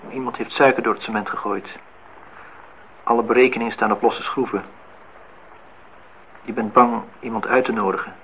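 An actor playing a brooding, insistent, melancholy engineer in a radio play. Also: male voice, mid-range voice, steady tone, moderate pace, long pauses, natural voice. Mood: brooding, wistful. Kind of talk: radio play dialogue and monologue. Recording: muffled, noisy old radio-play recording.

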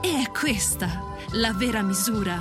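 A woman reading scripted radio drama narration in a solemn, deliberate way. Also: medium pitch, lively intonation. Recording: prominent music under the voice. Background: music.

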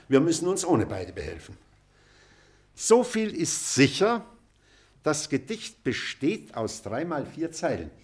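An older actor playing an elderly schoolmaster, pedantic and wistful, lecturing deliberately in a German radio play. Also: male voice, medium pitch, husky.

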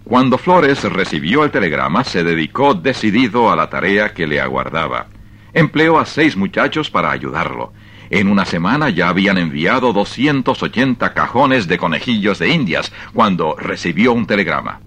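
A man narrating a dramatized short story for radio in an expressive, measured storytelling style. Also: low-pitched, husky.

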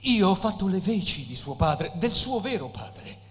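An actor playing a humble, affectionate country poet and fatherly mentor on stage, speaking with earnest, measured courtesy. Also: high voice, big pitch swings, fairly bright tone, full character voice. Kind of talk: theatrical literary stage acting. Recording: reverberant hall with background noise.

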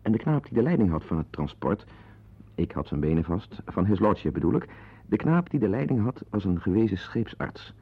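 Hectoring husband, creaky, husky, digressive, sardonic, neurotic, old radio play. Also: male voice, lowish voice, very animated, a little fast, narrow, tinny audio, exaggerated voice. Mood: wry storytelling. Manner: digressive, sardonic, prone to outbursts. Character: bitter, neurotic, hectoring husband confiding in his listeners. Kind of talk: radio play monologue and dialogue acting.

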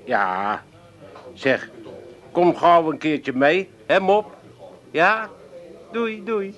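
An actor playing a jovial Amsterdam pub landlord in a radio play, with a drawling delivery and an Amsterdam accent. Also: male voice, on the high side, sing-song, extremely slow, a bit bright, dull audio, full character voice. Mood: chummy.

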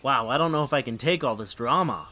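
A man's voice: medium pitch, expressive, fast, bright, forceful tone. A man hosting a radio show with scripted links and comic skits, in a dry, sceptical manner.

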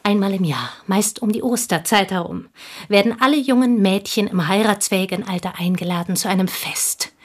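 An actress playing a bitter, proud, unmarried village woman in a radio play, speaking deliberately and with scornful intensity in a husky voice. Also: mid-range voice, close to an everyday voice. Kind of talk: German radio play acting.

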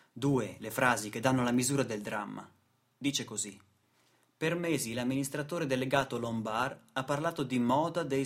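Husky man delivering theatrical monologue. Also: mid-range voice. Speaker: radio theatre performer.

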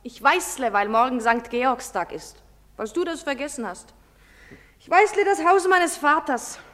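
A woman's voice: high voice, animated, unhurried, fairly bright tone. An actress playing a proud, defiant nineteen-year-old girl who answers sharply and dismissively. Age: young adult. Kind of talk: stage play recording. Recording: long hall reverb.

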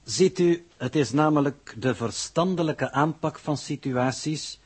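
Slow, pedantic male scientist. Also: fairly high pitch, husky. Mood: condescending, detached. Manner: formal, precise, pedantic. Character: coolly superior male scientist, secretive and condescending. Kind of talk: 1960s Dutch radio play acting.

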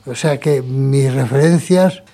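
A man in his seventies from Murcia, recalling his childhood in an interview, speaking in short measured phrases. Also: medium pitch, warm tone. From Murcia, Spain. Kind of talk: conversational testimony.

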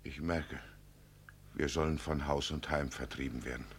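A man's voice: very deep voice, steady tone, moderate pace, breathy edge, exaggerated voice. An actor in a German radio play, playing a doting, proud, simple poor village father who talks plainly and earnestly. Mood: downcast.

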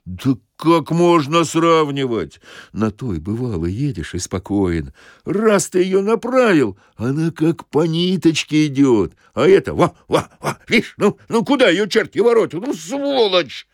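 A man performs an aggrieved bus driver for a comic audio story. His pitch swings up in exasperation as he grumbles and curses in folksy speech, sentimental about machines he has loved. The recording is close and dry. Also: big pitch swings, unhurried, no room sound. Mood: indignant.

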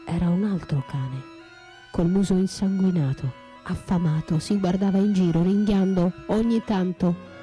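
An actress playing an anguished, bitterly lyrical battered wife left for dead, in an intimate, halting radio theatre monologue that drifts into whispers. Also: female voice, on the low side, warm tone, natural voice. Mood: sombre, recounting. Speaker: stage and radio actress performing her own monologue. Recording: roomy echo, faint music behind.

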